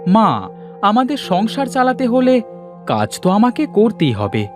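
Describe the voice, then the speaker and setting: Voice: medium pitch, wildly swooping pitch, measured pace, over music. A performer voicing a kind, dutiful village boy in a cartoon, earnest and eager in manner. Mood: reassuring.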